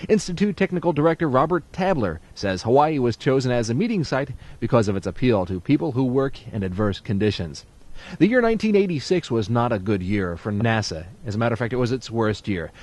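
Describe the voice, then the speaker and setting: A man's voice: medium pitch, sing-song, brisk, darkish tone. A man working as a radio DJ in a studio, giving playful, folksy announcer patter for a 1980s music show. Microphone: studio broadcast microphone.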